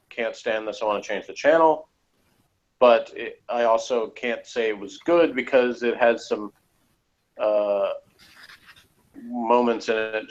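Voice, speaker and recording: Man in slightly dull, lo-fi review chat. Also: mid-range voice. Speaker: co-host of a TV review show. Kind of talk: casual episode review chat.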